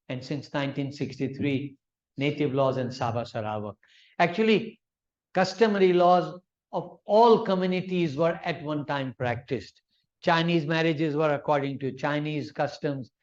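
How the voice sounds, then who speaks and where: Lecturing man, dull lo-fi sound. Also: a little high. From Malaysia. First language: Urdu. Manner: explanatory, gives examples, frequent fillers and restarts. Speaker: law professor lecturing. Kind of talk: academic lecture.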